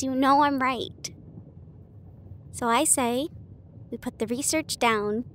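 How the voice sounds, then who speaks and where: A woman with a high voice plays an affectionate, bookish partner in an intimate audio roleplay. She speaks casually and chattily, pausing hesitantly between phrases. There is some audible noise behind her. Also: a bit bright. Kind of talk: intimate acted roleplay monologue. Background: ambient train sound effects.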